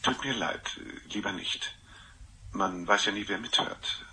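A man's voice: fairly low pitch, unhurried, sharp tone, a little hiss or hum, full character voice, poor audio. An actor playing a composed, formal and cagey man confessing to a murder in a German radio play. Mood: polite, evasive.

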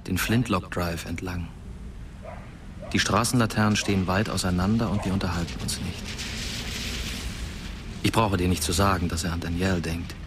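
Man playing a brooding, low-voiced dead teenage boy. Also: noisy background, lightly acted. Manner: reflective, rhetorical questions. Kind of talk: German radio drama narration, intimate monologue. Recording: music under the voice. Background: prominent music.

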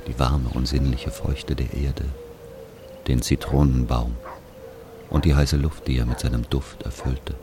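A man playing an aging Algerian who confesses an old killing in a brooding, deliberate literary monologue. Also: extremely low pitch, background noise. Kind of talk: literary monologue, dramatic audio narration.

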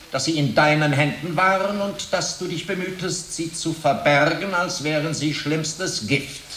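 Pompous medieval inquisitor, echoing room. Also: male voice, a little high, a bit bright. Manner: pompous, rhetorical, deliberate. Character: suave, menacing medieval inquisitor, coldly righteous prosecutor. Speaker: inquisitor in a radio play. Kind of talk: German radio drama acting. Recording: echoey room, faint background noise.

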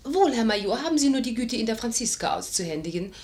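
Proud spirited young noble lady, highish swoopy voice, formal n pointed, old 50s german radio play. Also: expressive, fast talker, darkish tone, reverberant. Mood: cool, brisk. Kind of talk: 1950s German radio play acting, stage diction.

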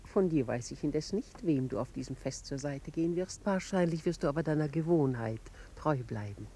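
A woman's voice: low voice, animated, moderate pace, dark tone. A woman playing a composed noblewoman with dry, needling irony in a 1950s German radio play. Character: cool, sardonic noblewoman, drily needling her husband. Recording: 1950s radio recording, faint noise.

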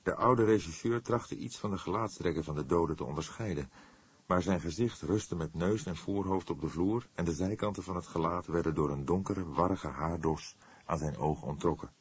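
Deep, husky male audiobook narrator. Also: moderate pace. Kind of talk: dramatic scripted narration of a crime novel.

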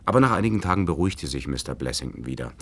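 A man's voice: rumbling low, animated, very fast, exaggerated voice. A man in a German radio play, playing an educated, polite nerve doctor who speaks formally and a little hesitantly. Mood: measured recounting. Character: educated male nerve doctor, courteous, measured, mildly sceptical. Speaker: actor in a radio drama. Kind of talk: German radio play acting, recounting and dialogue.

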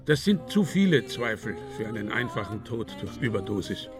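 An actor in a German radio crime drama playing a sober, factual, grave investigator. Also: male voice, lowish voice, sing-song, normal speed. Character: sober investigator doubting an overdose death. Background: music.

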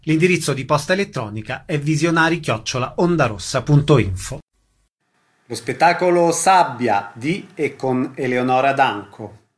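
A man's voice: medium pitch, animated, moderate pace. A man reading a scripted radio programme announcement.

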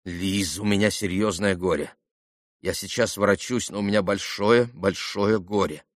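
A man voicing an earnest, gentle young man, sincere and self-reproaching, in an audiobook novel. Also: on the low side, steady tone, moderate pace, no room sound, clean studio sound, lightly acted. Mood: grave, hurried. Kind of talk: audiobook classic novel dialogue.

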